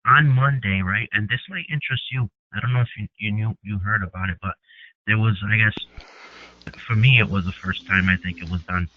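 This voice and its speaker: Male caller, lowish voice, hesitant and halting, heard through a thin phone line. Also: no room sound. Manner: hesitant, halting, many fillers and restarts. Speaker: caller on an activist conference call. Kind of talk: casual phone call.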